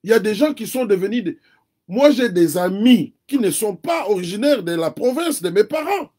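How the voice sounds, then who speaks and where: High-voiced Congolese man, earnest. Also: lively intonation, moderate pace, darkish tone. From Democratic Republic of the Congo. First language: Kikongo. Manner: earnest, argues point by point with repetition. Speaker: interview guest. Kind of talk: earnest conversational commentary.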